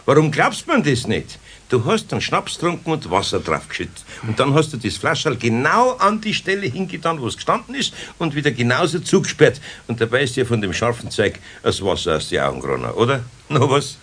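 A man with a Bavarian accent playing a gruff but kindly older master carpenter in a radio drama, with a husky voice. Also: medium pitch, very animated, a little fast, hardly any pauses, fairly bright tone. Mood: wry, stern. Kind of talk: 1970s German radio drama acting.

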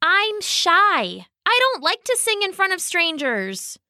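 A woman voicing a shy, polite female tortoise for a children's story, gentle but firm. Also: very high-pitched, sing-song, slow, no room sound, clean studio sound, pitched above the performer's own voice, heavily acted. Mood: firm, unbudging.

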